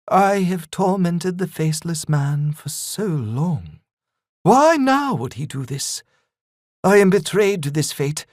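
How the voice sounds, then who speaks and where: A man voicing a cold, horned female demon in a story reading, with a breathy, hushed, menacing manner. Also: medium pitch, no echo, studio quality, heavily acted. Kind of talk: audiobook reading.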